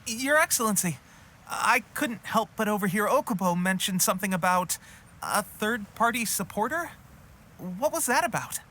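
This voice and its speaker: Eager, bright-voiced young soldier. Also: male voice, sits high, lively intonation, normal speed, slight background noise. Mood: curious, eager. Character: eager, friendly young male resistance soldier. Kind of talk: video game character acting.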